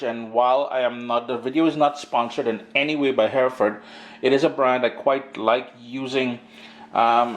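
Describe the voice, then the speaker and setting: A middle-aged man with a Caribbean accent hosting a casual cooking video in his kitchen. His nose is stuffy from allergies, and his talk is chatty and slangy. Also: mid-range voice. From Caribbean island. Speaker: cooking show host. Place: home kitchen.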